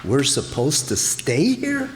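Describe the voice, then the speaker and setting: A man's voice: mid-range voice, wildly swooping pitch, slow. A man playing a weary, grumbling beat cop with resigned tough talk in an old-time radio drama. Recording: echoey hall, clear background noise.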